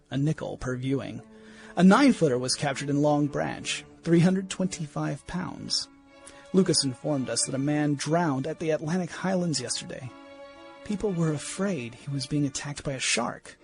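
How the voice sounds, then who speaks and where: Man acting as earnest, higher-voiced 1910s scientist. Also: darkish tone. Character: earnest 1910s shark researcher dictating his field journal. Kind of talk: radio play diary monologue.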